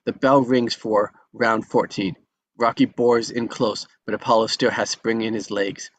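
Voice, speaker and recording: Low-pitched man reading stage directions. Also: little pitch movement, unhurried, slight background noise. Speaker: action narrator. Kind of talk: scripted narration at a table read.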